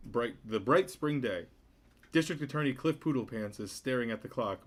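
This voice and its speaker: A man hosting a table read, introducing the cast and reading stage directions in a matter-of-fact way. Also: mid-range voice. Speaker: host and narrator of a table read.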